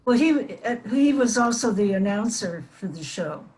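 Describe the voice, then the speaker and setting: A woman's voice: medium pitch, animated, moderate pace, darkish tone, low-quality recording. An older American woman reminiscing casually as a panel guest, hesitant as she recalls details. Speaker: panel guest, former child radio actress.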